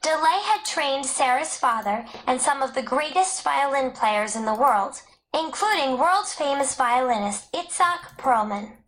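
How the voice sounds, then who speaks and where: Woman narrator w/ high pitched voice reading a school text out loud. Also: poor audio. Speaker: audiobook narrator. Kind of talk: scripted educational narration, read aloud.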